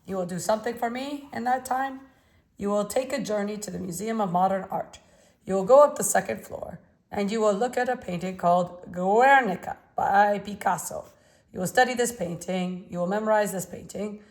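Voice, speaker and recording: Casting: a woman doing a gruff, commanding old male painter, faint Russian accent, loud and pushy. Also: fairly high pitch, lively intonation, measured pace, few pauses, pitched above the performer's own voice, low-quality recording. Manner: forceful, insistent, commanding.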